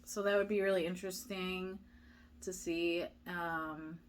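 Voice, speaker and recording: A woman's voice: lowish voice, steady tone, measured pace. A young woman vlogger chatting casually, reading viewer questions aloud and answering with many fillers. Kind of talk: casual chat.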